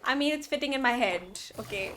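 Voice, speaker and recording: A woman's voice: high-pitched, a little fast, a little hiss or hum. A young woman streamer giving casual, gushing, slangy live reactions to a video game. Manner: excitable, gushing fan, slangy.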